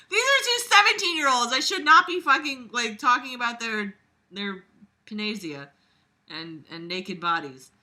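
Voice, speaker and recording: A woman's voice: on the low side, sharp tone. A woman reading aloud theatrically and breaking off into exasperated, sweary, sarcastic asides, with an exaggerated delivery. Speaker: online reader reacting to a book.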